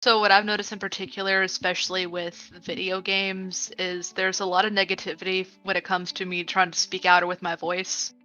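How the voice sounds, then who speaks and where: A woman's voice: on the low side, flat delivery, brisk, few pauses, sharp tone, lo-fi sound. A woman with a typically feminine voice, speaking earnestly on a panel. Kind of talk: casual panel talk.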